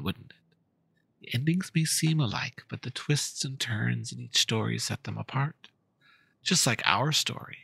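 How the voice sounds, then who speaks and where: Casting: man voicing a patient, coaxing storyteller for a game-story reading, husky bright tone, theatrical, over music. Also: mid-range voice, no echo, exaggerated voice. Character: storyteller patiently coaxing a child with a dragon fairy tale. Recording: music behind the voice.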